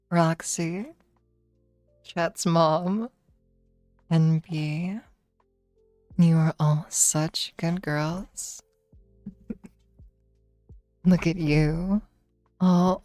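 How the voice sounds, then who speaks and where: Woman streamer, deep voice, slightly hoarse, slow teasing delivery, faint music behind. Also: warm tone, no echo. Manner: slow, teasing, drawn-out praise. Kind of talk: teasing ASMR-style livestream chat.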